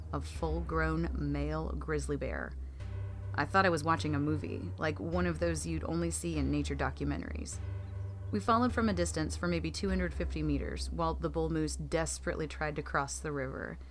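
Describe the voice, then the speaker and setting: A woman reading creepy first-person stories aloud as a narrator, in scripted storytelling style. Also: low voice. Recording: clearly noisy background.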